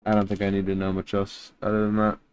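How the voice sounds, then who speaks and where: A man hosts a reaction video with casual, unscripted commentary. His voice is fairly low, very smooth and clear. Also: monotone, fast talker, darkish tone. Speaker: reaction video host, YouTuber.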